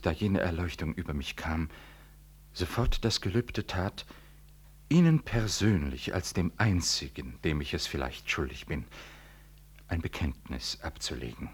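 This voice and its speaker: A solemn priest from a 1960s radio drama, with a remarkably deep bass voice. He declaims in careful, formal phrases with earnest, self-righteous weight and dignified emphasis. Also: slightly rough, heavily acted.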